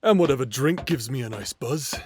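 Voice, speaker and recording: An actor playing a cynical, deadpan, jaded demon hunter in a sci-fi audio drama. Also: male voice, mid-range voice, fairly quick, a little hiss or hum, natural voice. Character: jaded, paranoid, pill-popping male demon hunter. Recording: music bed underneath.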